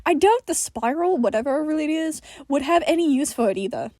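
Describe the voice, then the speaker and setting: A cheeky, obnoxious joker of a man, played by a woman reader in an audio story. The voice is high and flippant, always teasing, with a dry, close sound. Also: animated, a little fast, a little mellow, no echo. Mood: surprised, speculating.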